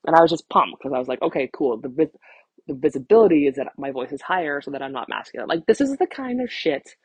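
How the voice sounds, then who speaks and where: A woman's voice: sits low, vocal fry, a little mellow, husky, no room sound. A woman in her thirties hosting a casual solo podcast, rambling candidly and self-deprecatingly, swearing freely. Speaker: podcast host, vocal empowerment coach.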